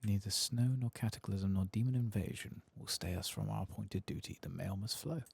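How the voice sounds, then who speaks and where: A soft-spoken man hosting an ASMR game playthrough, muttering to himself and at times dropping to a whisper. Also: lowish voice, fairly quick, gravelly, husky, dry recording. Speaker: host of a game playthrough channel.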